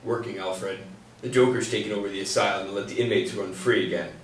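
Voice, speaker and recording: An actor at a table read playing a grim, determined masked vigilante who speaks in terse lines. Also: male voice, sits low, fairly even intonation, fast, a little hiss or hum, natural voice. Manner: terse clipped lines, rising to shouts. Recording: very echoey room from far off.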